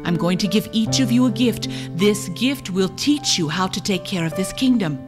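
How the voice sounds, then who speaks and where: A kind old king as a woman storyteller plays him addresses his children with measured, solemn gentleness. It is storybook delivery at a medium pitch, with music clearly behind it. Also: expressive, close to an everyday voice. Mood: gentle, instructing.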